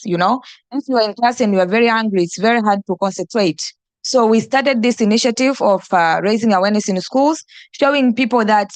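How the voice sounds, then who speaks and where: A woman's voice: medium pitch, dry recording. A young woman from Tanzania, an NGO director and teacher, answering on a panel with many fillers and restarts.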